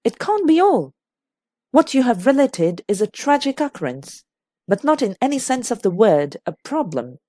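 An urbane older gentleman as a woman narrator plays him in a classic mystery audiobook. He is teasing and coaxing, with very varied intonation, recorded dry. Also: medium pitch, huge pitch swings, slow pace, no echo, pitched above the performer's own voice, exaggerated voice. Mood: remonstrating.

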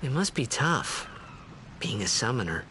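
Naive young hero, earnest, wondering, video game drama, very varied intonation. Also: male voice, medium pitch, very animated, measured pace, bright, forceful tone, a little hiss or hum. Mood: puzzled, concerned. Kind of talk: video game voice acting, wistful narration.

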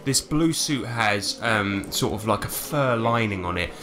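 A man's voice: on the low side, lively intonation, unhurried, background noise. A man narrating a tabletop roleplaying game as its game master, setting scenes informally.

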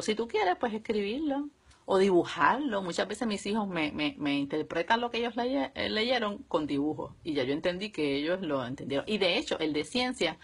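A woman in her thirties or forties with a Puerto Rican accent and a slightly husky voice, explaining things casually and step by step to a live audience at home. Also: fairly low pitch. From Puerto Rico. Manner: conversational, explaining step by step with examples. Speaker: homeschooling mother and live video host. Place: small room at home, indoors. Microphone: phone or webcam at close range.

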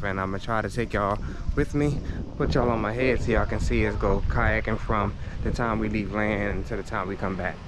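Chatty Philly man vlogging outdoors. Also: on the low side. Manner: chatty and upbeat, addressing viewers as y'all. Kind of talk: casual vlog talk to camera. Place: outdoors in a park on a sunny day. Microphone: handheld action camera at arm's length while walking. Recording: outdoor noise, insects and traffic. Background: cicadas, insects and passing traffic.

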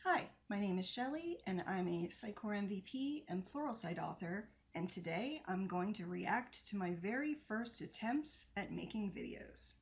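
A woman in her thirties who hosts software training videos, talking casually and reacting to old clips, with a mild stutter and occasional repeated words. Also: medium pitch, lively intonation, moderate pace, hardly any pauses. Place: home office with bookshelves.

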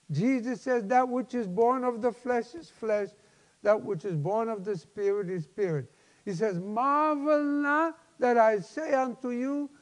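High-pitched elderly preacher in a reverberant hall. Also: male voice. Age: 70s. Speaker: pastor. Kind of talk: sermon with personal testimony. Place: large church sanctuary, at a lectern.

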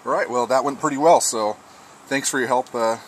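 A man's voice: medium pitch, a little fast. A man talking casually as an amateur radio operator, trading call signs and test reports. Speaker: amateur radio operator testing his rig. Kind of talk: casual ham radio exchange. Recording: clearly noisy outdoor radio-shack recording.

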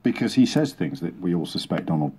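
A man's voice: low-pitched, big pitch swings, brisk, warm tone, husky. A man hosting talk radio with an emphatic, opinionated monologue full of rhetorical repetition, heard from a radio speaker. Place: played through a portable radio indoors. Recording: radio speaker filmed in a room.

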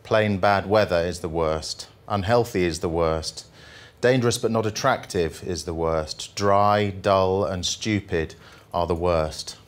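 A middle-aged man reading poetry aloud to a room with deliberate, mock-solemn phrasing, speaking into a handheld microphone. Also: low-pitched. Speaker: journalist reading poems at a workshop. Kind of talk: live poetry reading with casual asides to an audience. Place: indoor presentation room with projection screen.

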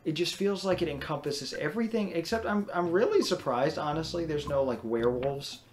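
A young man hosting a let's play, commentating casually in a self-described monotone, rambling through his explanations. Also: fairly high pitch, a little fast, slight background noise.

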